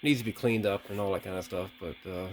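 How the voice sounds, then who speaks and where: A man's voice: deep voice, quick pace, very noisy. A man giving a casual, unscripted hands-on demonstration, thinking aloud with some hesitation. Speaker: vlogger testing vintage CB radios.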